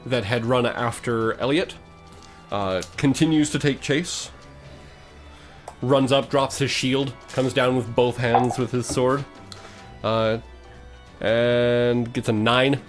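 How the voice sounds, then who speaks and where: A man acts as game master for a tabletop fantasy game, describing the action and calling out results at a middling pitch. Music plays behind his voice. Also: steady tone, slow pace, long pauses. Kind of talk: narrating a tabletop role-playing game.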